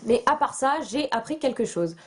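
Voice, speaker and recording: Chatty French book vlogger woman, fairly high voice. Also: lively intonation, moderate pace. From France. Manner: chatty, self-mocking, many fillers and restarts. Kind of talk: casual chat to camera.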